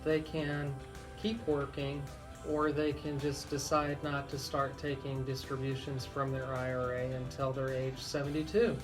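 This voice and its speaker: A man speaking as a legal expert on a radio talk show, explaining things deliberately with frequent pauses. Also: medium pitch, level tone, over music.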